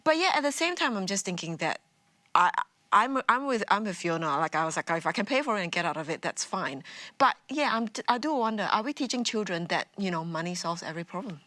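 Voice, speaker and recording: A woman's voice: medium pitch, expressive, fast, sharp tone, no room sound. A woman from Singapore speaking casually as a panelist in a discussion.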